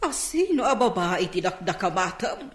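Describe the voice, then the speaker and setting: A woman's voice: mid-range voice, big pitch swings, moderate pace, lightly acted. A Filipino woman acting a haughty middle-aged mother in a radio drama, scolding and questioning with indignation. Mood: indignant. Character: haughty, scolding mother of a grown son, fallen rich. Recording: roomy, echoing sound.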